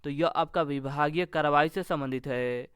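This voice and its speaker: Male exam tutor, very clear smooth voice, even intonation, reading out questions and answers in an instructional way. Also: fairly high pitch, normal speed, no echo, clean studio sound. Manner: instructional, repetitive set phrasing. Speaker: exam-coaching teacher. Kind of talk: question-and-answer quiz lesson.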